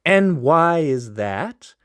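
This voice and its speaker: A man's voice: medium pitch, sing-song, measured pace, fairly bright tone. A man doing a bitter, short-tempered young man. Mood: incredulous. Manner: exasperated, snappy.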